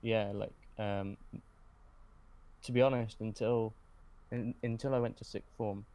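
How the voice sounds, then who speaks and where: A young man reminisces as an interview guest in a lowish, very even voice. He is low-key and hesitant, often stopping mid-sentence to find his words. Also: unhurried. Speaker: podcast guest, script writer.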